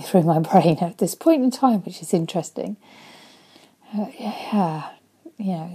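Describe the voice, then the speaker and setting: Woman life coach, mellow low voice, breathy half-whisper, home webcam. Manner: earnest, soft-spoken, often breathy and whispery. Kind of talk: motivational vlog talk. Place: living room at home.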